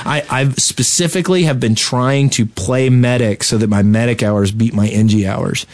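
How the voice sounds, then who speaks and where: A man's mid-pitched voice in casual podcast chat, recorded dry and close. He talks as a co-host, recounting things with exasperation and quoting people as he goes. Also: normal speed, no echo.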